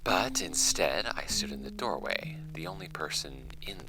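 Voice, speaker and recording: Man reading as a prim student, very deep voice. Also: expressive, moderate pace. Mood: uneasy, reflective. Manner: formal, prim, overly polite. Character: earnest, self-important teenage high school student, female letter writer. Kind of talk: dramatic reading of a letter. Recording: music bed behind the voice.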